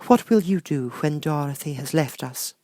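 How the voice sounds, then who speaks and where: A man voicing a kindly young good witch in a storybook, gentle, gracious and measured. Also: on the high side, animated, no room sound, clean studio sound, pitched above the performer's own voice, lightly acted.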